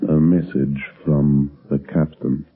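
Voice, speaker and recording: A very deep, husky male villain, an oily smuggler with a smug, businesslike way. The old radio recording sounds thin and phone-like. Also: little pitch movement, takes it slowly, exaggerated voice. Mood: furtive, businesslike. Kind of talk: 1930s radio drama acting. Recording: muffled, narrow-band 1930s radio recording.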